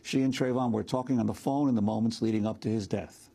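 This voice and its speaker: A man with a husky voice reading scripted news as a presenter. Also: fairly low pitch, steady tone, fast talker, dark tone, slight background noise.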